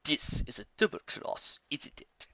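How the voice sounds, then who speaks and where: A reader voicing a greedy male tiger villain, speaking slowly with calm, quiet menace for an audio story. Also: dry recording, dull audio.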